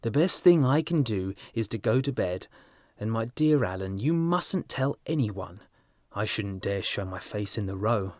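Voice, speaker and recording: A man voicing an earnest, excitable, easily embarrassed young gentleman in an audiobook, plaintive and impulsive. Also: on the low side, a little slow, no room sound, clean studio sound. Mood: sulky, embarrassed. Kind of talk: audiobook character dialogue.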